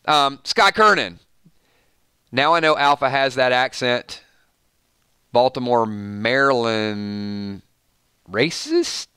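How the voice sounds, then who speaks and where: A man's voice: mid-range voice, expressive, slow pace, long pauses, sharp tone, smooth, no echo, studio quality. A man with a Georgia accent hosting a casual live stream, rambling and laughing as he chats with viewers. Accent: Georgia (US South). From Georgia, USA. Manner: chatty, rambling, laughs often, reads viewer comments. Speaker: show host.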